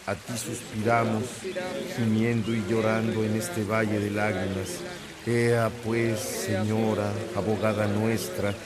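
A man playing a steadfast, devout missionary priest in a radio drama, measured and solemn, with a Mexican accent. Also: medium pitch, lightly acted.

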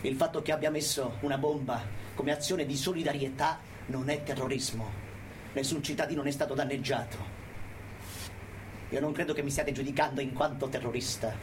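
High-pitched defiant anarchist militant, acted by a man. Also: noisy background, close to an everyday voice. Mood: accusing, heated. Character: defiant, unrepentant male anarchist militant addressing his judges. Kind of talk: theatrical political monologue in radio theatre.

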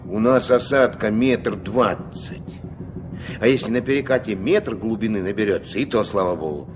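An actor in a Soviet radio drama playing a gruff, commanding old riverboat captain who gives curt orders in folksy speech. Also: male voice, lowish voice, normal speed, lightly acted. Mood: grumbling, instructive. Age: older. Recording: noisy 1970s radio play recording.

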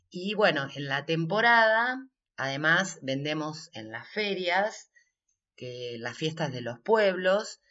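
A woman's voice: sits low, sharp tone, dull audio. A woman with an Argentine accent answering interview questions as a workshop coordinator, explaining things step by step.

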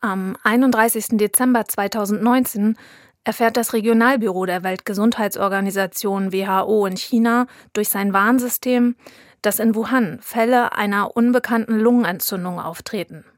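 A woman reporter telling a documentary story in a calm, even voice. She was recorded dry and close on a studio mic. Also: mid-range voice, moderate pace, no room sound. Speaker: reporter and narrator. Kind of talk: scripted documentary narration.

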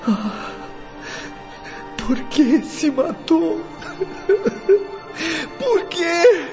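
A man acting a grieving, reproachful young son in a radio drama, pleading in anguish with drawn-out words. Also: very high voice, big pitch swings, full character voice. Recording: dull lo-fi audio under loud music. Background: dramatic music.

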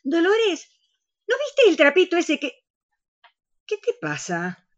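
A woman's voice: very high-pitched, huge pitch swings, slow pace, highs cut off, exaggerated voice. An actress playing an alarmed, pious older woman with an Argentine accent in a comic radio play. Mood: suspicious, worried. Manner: panicky, dramatic.

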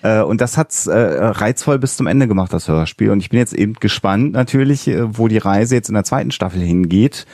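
A man's voice: on the low side, lively intonation, brisk, hardly any pauses, a little mellow, no room sound, clean studio sound. A man hosting a conversational podcast interview, talking in long, winding sentences with hesitant phrasing. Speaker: podcast host interviewing an author.

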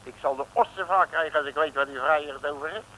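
An actor playing a brash, wisecracking detective with blunt slang in a comic radio serial. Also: male voice, on the high side, fast talker, sharp tone, a little hiss or hum, rough recording. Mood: baffled, cheeky. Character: brash, streetwise Dutch detective, blustery and wisecracking. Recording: old 1950s radio recording.

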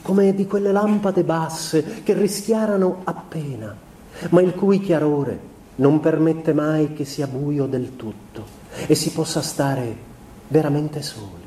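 An actor playing a sensitive, melancholy man who recalls an austere childhood in a measured, introspective stage monologue. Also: a little high, dark tone. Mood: wistful, confiding. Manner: measured, introspective, literary phrasing with deliberate pauses. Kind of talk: theatrical literary monologue. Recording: roomy, echoing sound with faint noise.

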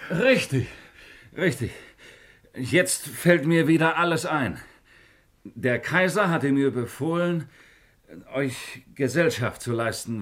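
An actor playing a world-weary but affable Roman courtier in a 1950s radio play, genial and rambling. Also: male voice, medium pitch, fairly bright tone. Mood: groggy.

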